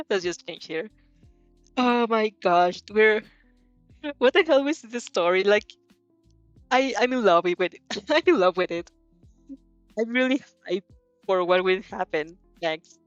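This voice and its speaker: A woman chatting casually as a host, reacting to a story in a giggly, excitable, rambling way. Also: mid-range voice, slow, pauses often, dry recording, lo-fi sound, faint music behind. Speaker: host reading fan fiction aloud and reacting. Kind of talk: casual reaction stream chat.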